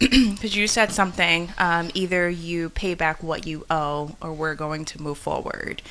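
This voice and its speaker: A woman's voice: lowish voice, steady tone, pushed and bright. A young woman co-hosting a podcast, asking questions in a chatty way full of "like". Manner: chatty, quick, filler-heavy with "like". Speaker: co-host. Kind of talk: podcast interview.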